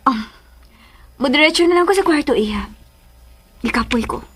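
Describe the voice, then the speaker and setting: A woman acting a concerned, gently teasing mother in a Cebuano radio drama, questioning in a dry way. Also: sits high, expressive, normal speed. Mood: resigned.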